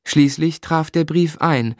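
An actor playing a reflective storyteller who recalls his childhood, in measured literary narration for a radio drama. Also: a little high, a little mellow, no room sound, clean studio sound. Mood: reflective, composed.

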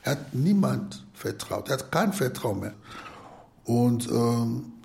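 Gravelly, slow-speaking man. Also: medium pitch, big pitch swings, a little mellow. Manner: halting, short phrases. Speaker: participant retelling a film story for a radio play. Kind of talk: reflective spoken commentary.

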